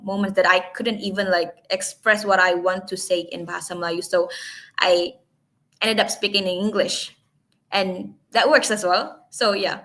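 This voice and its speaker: A woman's voice: medium pitch, a bit bright. A young Indonesian woman, hesitant speech. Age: young adult. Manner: hesitant, many fillers and restarts. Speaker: guest speaker. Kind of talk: webinar talk.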